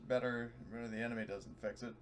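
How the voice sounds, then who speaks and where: A man's voice: mid-range voice, level tone, quick pace, crowd noise. A man chatting casually as a tabletop game player, irreverent and prone to swearing. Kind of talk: casual chat.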